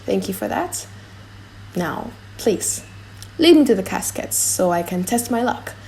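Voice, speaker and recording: A woman's voice: medium pitch, animated, unhurried, gravelly, a little mellow, low-quality recording. A woman voicing a proud, ardent prince, a boastful suitor, in a solemn and declamatory audiobook performance. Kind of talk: dramatic audiobook acting.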